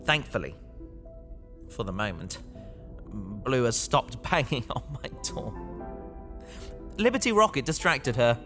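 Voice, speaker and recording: A man playing an anguished young fugitive recording a journal in a sci-fi audio drama, brooding and halting. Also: mid-range voice, very animated. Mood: brooding, weary. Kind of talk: science fiction audio drama monologue. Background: music.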